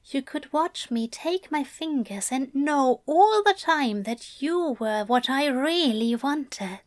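A murmuring male angel, tender and sly, coaxing in a hushed, raised voice, as a woman reader puts him on. He lingers over each phrase, unhurried and intimate, in a storybook reading. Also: sits high, animated, pitched above the performer's own voice. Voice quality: soft, murmuring.

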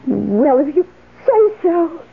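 An actress playing a timid, wistful and devoted older spinster schoolteacher in a 1970s radio drama, hesitant and fluttery. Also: female voice, high voice, very animated, slow pace, creaky, narrow, tinny audio, exaggerated voice. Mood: wistful, anxious. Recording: thin, noisy vintage radio broadcast.

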